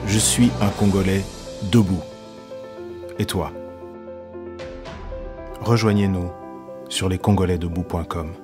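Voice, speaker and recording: A man's voice: sits low, steady tone, slow, pauses often. A man reads scripted promotional narration as a campaign announcer. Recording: loud music bed under voice. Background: music.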